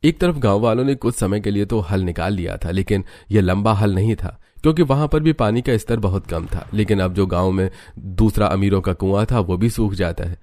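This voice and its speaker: A man with a low voice narrates a scripted cartoon story. The sound is dry and close, with no room around him. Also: a little fast, few pauses, dark tone, no room sound.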